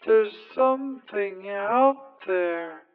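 A man's voice: high-pitched, lively intonation, extremely slow, phone-quality sound, soft background music, voice pushed up, heavily acted. A man voicing a young girl in a short story, attached to her mother and plaintive, with a childlike way of speaking. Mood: pleading, uneasy. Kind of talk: storybook character voice in prose narration.

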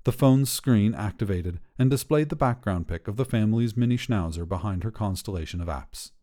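Give a mellow male storyteller reading scripted fiction with measured care. His pitch moves around freely, and the tone stays soft-edged and dark. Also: lively intonation. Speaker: podcast narrator. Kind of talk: scripted short fiction narration.

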